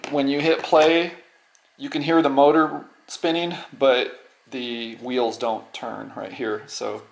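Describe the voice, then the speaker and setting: A middle-aged man giving a casual unscripted show-and-tell, halting and rambling, often repeating words as he goes. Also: medium pitch, gravelly, slight background noise. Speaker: vlogger.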